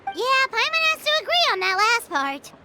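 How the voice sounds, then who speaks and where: A woman's voice: very high-pitched, big pitch swings, moderate pace, heavy background noise. A woman voicing a small, chirpy female companion who refers to herself by name.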